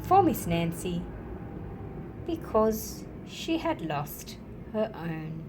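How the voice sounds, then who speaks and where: A woman reading a children's storybook aloud in an expressive, deliberate storyteller's manner. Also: fairly low pitch, background noise. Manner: slow, deliberate, animated delivery for young listeners.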